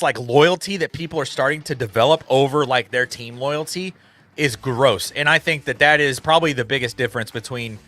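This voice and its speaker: A man's voice: medium pitch, pushed and bright. A man co-hosting a casual sports chat, talking emphatically and filling his speech with lots of "like"s. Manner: emphatic, filler-heavy with many "like"s. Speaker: co-host.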